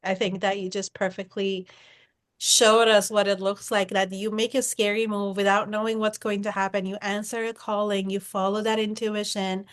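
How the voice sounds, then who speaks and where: A woman hosting a podcast interview, talking in an animated way with many fillers. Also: mid-range voice, normal speed. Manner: animated, filler-heavy talk. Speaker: podcast host.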